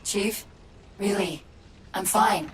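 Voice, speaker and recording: A woman voicing a brisk, loyal female AI companion in a video game cutscene, speaking in clipped lines that sometimes drop to a hush. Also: mid-range voice, slight background noise, lightly acted, poor audio. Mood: strained. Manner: brisk and clipped, drops to hushed tones.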